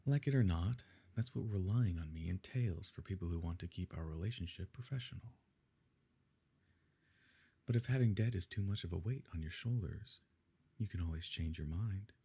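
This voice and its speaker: A man playing a possessive evil nobleman in an ASMR romance roleplay, speaking in a measured, formal, quietly coercive way with a husky, gravelly voice. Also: sits low. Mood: smoothly coercive. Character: smooth, possessive evil nobleman courting a grieving beloved. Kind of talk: ASMR romance roleplay, scripted dramatic monologue.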